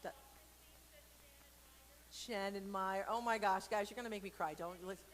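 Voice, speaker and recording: A woman hosting a casual read-aloud for kids, playful and expressive, talking straight to viewers with informal, encouraging asides. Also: mid-range voice, moderate pace, in a large hall. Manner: playful, expressive, with silly sound effects and asides. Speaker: storyteller and church program host.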